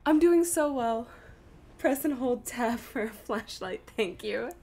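A woman's voice: fairly high pitch, lively intonation, takes it slowly, warm tone. A woman giving casual live commentary as she streams a video game, muttering to herself in an exasperated, self-deprecating way.

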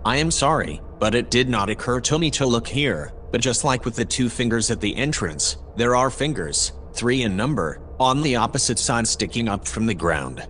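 An actor playing a polite, precise AI observer in an audio drama, speaking in a formal, measured way. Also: male voice, medium pitch, animated, a bit bright, a little hiss or hum, natural voice. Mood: apologetic. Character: polite, precise male AI observer aboard a spaceship.

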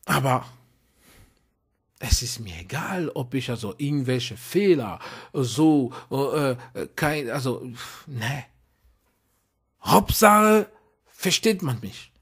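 A man preaching a sermon in a language he learned as an adult, hesitant and repeating words, then rising to emphatic, high-pitched exhortation. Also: big pitch swings, slow pace, long pauses, husky. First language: not German. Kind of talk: impassioned sermon.

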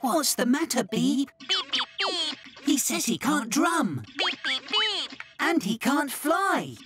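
A woman voicing Double E, cheerful twin letter blocks in a children's cartoon, bubbly and excited, over music. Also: high voice, slow, heavily acted. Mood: gentle.

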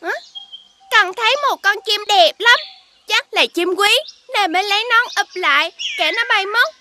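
A woman playing a crafty, cheeky peasant boy in an animated fairy tale, pert and argumentative. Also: very high-pitched, normal speed, noisy background. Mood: scheming.

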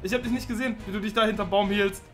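A man in his twenties hosting a gaming video with friends, loud, teasing and slangy, prone to outbursts. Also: high-pitched, steady tone, racing, soft background music. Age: 20s. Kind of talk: excited Fortnite commentary with friends. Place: small room at home.

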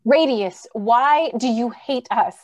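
A woman's voice: on the high side, takes it slowly, darkish tone, highs cut off. A woman in her thirties playing an earnest, anxious idealistic wife in old-time radio drama style. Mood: earnest pleading.

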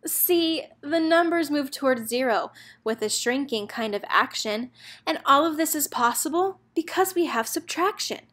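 A woman's voice: high-pitched, lively intonation, normal speed, a bit bright. A woman narrator reading a children's picture book aloud, expressively and in a rhythmic lilt of rhyming verse.

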